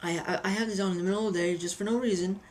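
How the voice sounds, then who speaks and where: A woman's voice: medium pitch, fairly even intonation, rapid-fire, a little hiss or hum. A woman giving a casual, chatty hobby review with anecdotes, often repeating phrases for emphasis.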